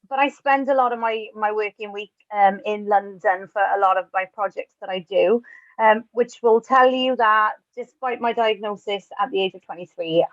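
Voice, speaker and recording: A woman's voice: mid-range voice, no room sound, lo-fi sound. A Welsh woman in her thirties, telling her own story in a chatty, self-deprecating way at an online event, with light asides. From Wales. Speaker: webinar speaker. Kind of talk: personal talk at an online event.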